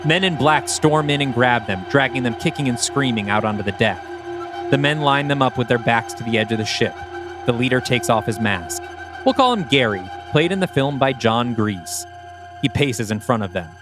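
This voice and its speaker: A mid-pitched man narrates a scripted audio drama like a screenplay, scene by scene. Faint music sits behind his voice. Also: lively intonation, normal speed. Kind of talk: scripted audio play narration, present tense.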